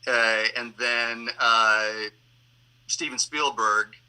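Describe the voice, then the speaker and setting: A man answering interview questions on a video call as a theater director, explaining things in a halting, reflective way. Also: mid-range voice, steady tone, sharp tone, smooth, rough recording. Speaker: theater artistic director and actor, panel guest. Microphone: video call microphone.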